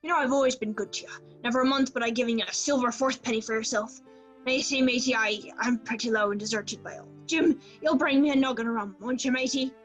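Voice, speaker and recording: A woman playing a rough, fearful old male sea captain who craves rum, in a radio play with sailor dialect. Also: on the high side, quick pace, faint music behind, natural voice, rough recording. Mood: pleading.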